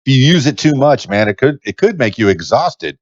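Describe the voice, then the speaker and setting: A man's voice: mid-range voice, sing-song, a little fast, dry recording. A man from Miami with Cuban parents, hosting a casual podcast chat, hesitant and stammering, often calling his guest "man". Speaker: podcast host. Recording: dry, dull lo-fi audio.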